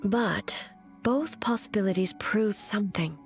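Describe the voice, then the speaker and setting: A grave and resolute female shogun from a video game, acted by a woman. She speaks formally, with measured weight and a medium-pitched voice, while music plays behind her. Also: close to an everyday voice. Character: solemn, resolute immortal woman shogun warrior ruler. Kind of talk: scripted video game voice acting. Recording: music under the voice.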